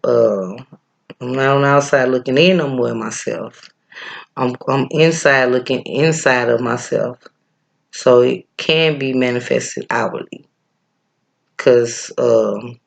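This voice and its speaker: A man with a Midwestern accent talks through breathing techniques in a loose, chatty way. His voice sits at a middle pitch with a gravelly edge. He drifts off in thought and fills his pauses with "um" and "uh". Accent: Midwestern American. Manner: laid-back and chatty, stops often with fillers to search for a name. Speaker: breathwork and yoga teacher. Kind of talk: casual spiritual teaching, rambling.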